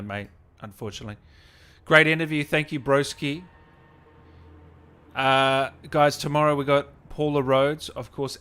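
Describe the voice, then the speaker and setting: A man's voice: medium pitch, pushed and bright. A man hosting a casual live stream, reading out comments and thanks in an enthusiastic, matey, informal way.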